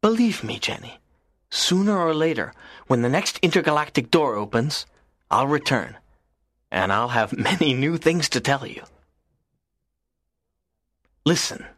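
An actor playing a lonely, earnest teenage schoolboy in an audiobook, talking in a soft, low, pleading way. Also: male voice, sing-song, a little slow, long pauses, no echo. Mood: calm, planning. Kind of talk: audiobook character reading.

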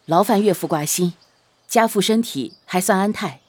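A woman playing a mild young husband and father in a Chinese period audio drama, polite and measured. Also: medium pitch, darkish tone, close to an everyday voice. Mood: polite, gentle.

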